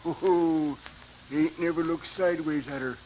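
Man playing high voiced hayseed neighbor guy, stammers and repeats himself, muffled old radio comedy. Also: fairly even intonation, a little slow, a little hiss or hum, lightly acted. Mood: folksy, bumbling. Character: folksy, excitable, gossipy small-town male neighbor. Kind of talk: old-time radio situation comedy acting.

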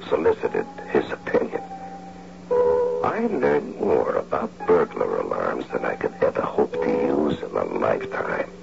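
Scheming house-husband crook: very low voice, wildly swooping delivery, coaxing, old radio drama. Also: male voice, wildly swooping pitch, measured pace, gravelly, loud music behind the voice, heavily acted. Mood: wry narration. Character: scheming smooth-talking husband, engineer turned house-husband bank robber. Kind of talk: old-time radio drama acting with first-person narration. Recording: dull, humming old radio recording.

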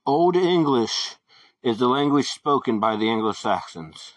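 Slow-paced man hosting a podcast over music. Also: medium pitch, expressive, no room sound. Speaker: podcast host. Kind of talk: scripted podcast introduction.

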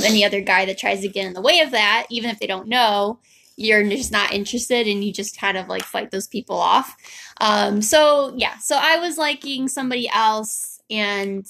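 Young female host, conversational and informal, medium pitch with noticeable gravelly fry. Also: fairly bright tone. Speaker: host telling the couple's story. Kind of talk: casual chat.

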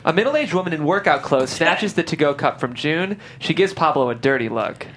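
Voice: medium pitch, lively intonation, a little fast, fairly bright tone, slight background noise. A reader delivering scripted stage directions as narrator at a table read.

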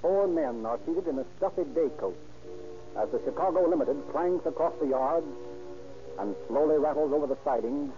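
Emphatic vintage radio announcer, tinny and thin, with a fairly high voice. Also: male voice, normal speed, soft background music. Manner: emphatic, declamatory. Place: radio studio. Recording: tinny old radio recording.

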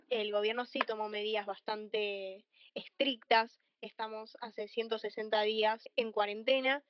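Slightly high teenage Argentine girl, dry sound. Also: dry recording, muffled recording. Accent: Argentine Spanish. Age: teens, secondary school student. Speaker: podcast co-host. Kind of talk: conversational opinion podcast.

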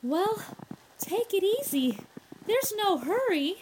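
A woman's voice: extremely high pitch, very animated, slow, darkish tone, slight background noise, full character voice, rough recording. A woman playing a sensible, well-informed young woman in an old-time radio drama, reasoning and explaining with theatrical clarity. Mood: cheerful, explanatory.

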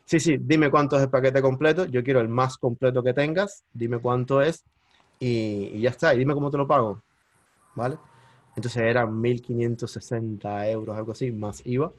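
A man with a Cuban accent, a podcast guest telling his story in lively casual conversation and bursting into high exclamations at the big moments. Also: moderate pace, dry recording. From Cuba. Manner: animated, jumps into high-pitched exclamations when retelling moments.

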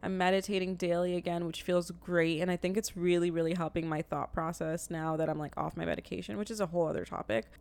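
Smooth-voiced woman co-hosting a podcast. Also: fairly low pitch, fairly even intonation, fast, hardly any pauses, a little mellow. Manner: teasing, direct, reflective. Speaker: podcast co-host. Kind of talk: casual chat.

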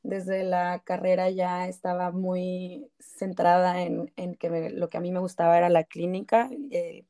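Mexican woman psychologist, mellow dark voice, hesitant, talks softly. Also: lowish voice, monotone, unhurried, few pauses. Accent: Mexican Spanish. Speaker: clinical psychologist, guest. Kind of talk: casual podcast interview.

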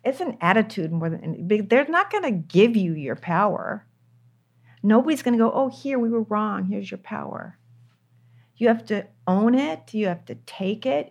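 An older woman, a screenwriter, talking candidly in an interview, stressing her points by repeating words. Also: medium pitch. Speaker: screenwriter guest.

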